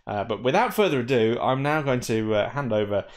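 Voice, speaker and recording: A man's voice: medium pitch, expressive, a little fast. A man in his forties hosting a live-streamed tech meetup, chatty with many fillers and wry asides. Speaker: meetup organiser and host.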